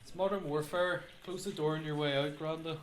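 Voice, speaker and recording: A man's voice: fairly high pitch, steady tone, a little fast, lightly acted. A man playing a young grandson in a radio drama, casual and naively curious. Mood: casual, distracted. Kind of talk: amateur radio drama dialogue.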